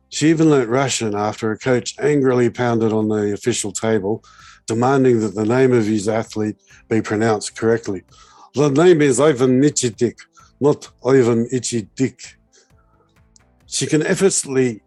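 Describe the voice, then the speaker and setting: A man's voice: medium pitch, warm tone, no room sound. A man, co-hosting a podcast and reading out a playful, wry introduction of a guest. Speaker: podcast co-host.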